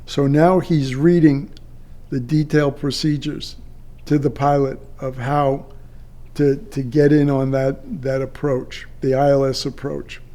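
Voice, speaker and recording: An older man, a retired air traffic controller, telling a personal story off the cuff in a halting way with drawn-out pauses. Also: mid-range voice, level tone, dark tone.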